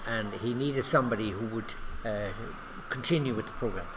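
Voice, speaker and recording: An older man with an Irish Cork accent, an athletics coach recalling his start in an interview, measured and reflective. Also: fairly low pitch, muffled recording, noisy background. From Ireland. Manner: measured, reflective, with many fillers. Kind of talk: interview storytelling.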